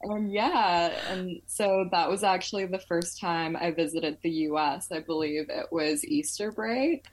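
Young woman guest, low pitched, chatty and loose, lots of "like" and "um". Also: measured pace, few pauses. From Malaysia. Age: 20s. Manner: chatty, filler-heavy, laughs often. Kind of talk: casual interview chat.

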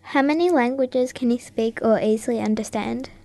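A woman's voice: fairly high pitch, moderate pace, creaky, darkish tone. A woman hosting a radio talent show, carefully reading out scripted introductions and prepared interview questions.

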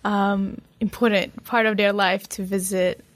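Woman, interviewer, soft encouraging questions, relaxed conversational tone. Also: medium pitch, measured pace. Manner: gentle, encouraging with soft mm backchannels.

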